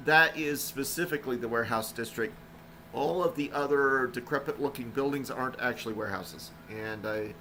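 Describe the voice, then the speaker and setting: A man talks loosely over his game stream, drifting from thought to thought with plenty of fillers. His voice is bright and forward on a desk mic. A faint hum sits underneath. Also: mid-range voice, low-quality recording. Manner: rambling, relaxed, frequent "uh" fillers. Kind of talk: casual live-stream commentary. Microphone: desk microphone.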